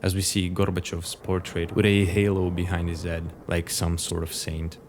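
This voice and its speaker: A man's voice: low voice, monotone, moderate pace, darkish tone, slight background noise. A man giving scripted commentary as the narrator of a horror video essay.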